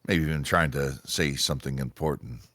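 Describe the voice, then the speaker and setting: An actor playing a self-important male executive agent with hesitant, pompous pauses in a comedy radio play read. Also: a bass voice, fairly bright tone, a little hiss or hum. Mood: measured, self-satisfied.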